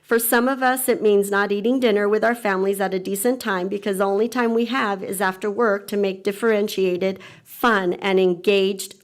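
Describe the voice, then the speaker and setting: A woman teacher giving an earnest, measured public comment at a school board meeting, reading a formal statement into a podium microphone. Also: medium pitch.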